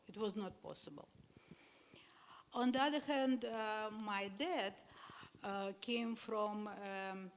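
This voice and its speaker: Older Moscow-born woman, echoing hall. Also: medium pitch. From Moscow, Russia. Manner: warm-hearted, laughing lightly, hesitant with many fillers. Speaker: temple member on a panel. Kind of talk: personal storytelling to a congregation. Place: congregation hall. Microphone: handheld mic. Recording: long reverb of a hall.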